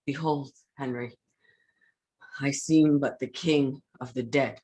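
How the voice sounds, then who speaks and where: An actor playing an anguished, guilt-ridden male scientist in a dramatic staged reading, grave and deliberate with a raspy voice. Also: medium pitch, natural voice. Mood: grieving.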